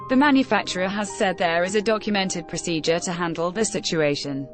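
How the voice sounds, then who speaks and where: A woman's voice: fairly low pitch, a little fast. A woman reading a scripted news report aloud as narrator. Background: background music.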